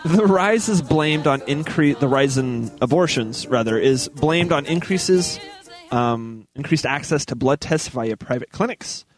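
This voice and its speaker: A man's voice: on the high side, expressive, unhurried, faint music behind. A man on a casual comedy show reading news articles aloud, occasionally stumbling over the words.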